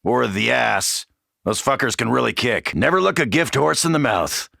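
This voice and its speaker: An actor playing a tough, cocky American marine in a video game, gravelly and brash, with a swaggering, wisecracking delivery. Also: male voice, medium pitch, lively intonation, normal speed, pushed and bright, no echo, studio quality, exaggerated voice. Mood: wry, teasing. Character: tough cocky American soldier, gung-ho zombie-slaying marine. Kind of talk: video game character barks.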